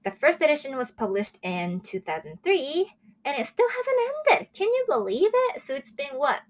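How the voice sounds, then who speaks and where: A woman in her early twenties from Japan, hosting a casual solo review show in a chatty, excitable way. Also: high-pitched, moderate pace. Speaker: host of a manga review show.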